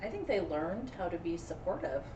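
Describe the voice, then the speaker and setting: Lively woman in an echoey room. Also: on the low side, moderate pace, dull audio. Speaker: teacher co-hosting a read-aloud. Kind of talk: casual discussion of a story for children. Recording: echoey room, distant mic, faint noise.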